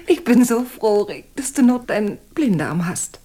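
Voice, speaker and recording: A woman's voice: medium pitch, very animated, a little slow. An actress playing a frank, self-assured lady in a German radio crime drama, speaking candidly with a teasing edge. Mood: tender, relieved.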